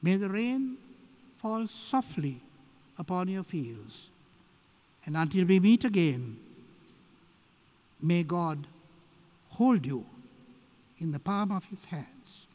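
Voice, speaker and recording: Old sri lankan guy giving a solemn tribute, really slow, big echoey hall. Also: sits high, big pitch swings, darkish tone. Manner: solemn, measured phrasing. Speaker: church society speaker. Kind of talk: formal tribute speech. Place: large church, at lectern. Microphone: gooseneck lectern microphone. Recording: echoey hall, long reverb.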